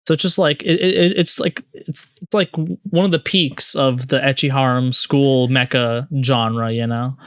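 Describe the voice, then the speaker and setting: A man co-hosting a podcast in casual, slangy, gushing chat, often halting and repeating his words. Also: medium pitch, steady tone, fairly bright tone, smooth, no echo, studio quality. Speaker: podcast co-host. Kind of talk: casual chat about anime.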